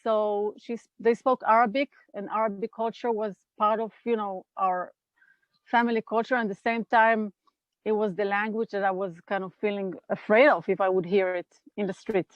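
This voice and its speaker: A woman's voice: mid-range voice, fairly even intonation, no echo, muffled recording. Israeli woman, thoughtful and dry-sounding. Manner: thoughtful, unhurried, with pauses and fillers. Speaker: guest, NVC trainer. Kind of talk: reflective interview talk. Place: home in California. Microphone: video call.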